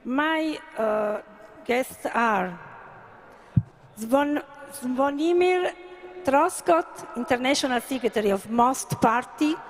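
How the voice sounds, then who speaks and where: A woman with an Italian accent moderating a live conference panel in halting, hesitant English with repeated words. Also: sits high, expressive. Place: conference venue. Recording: faint live venue background noise.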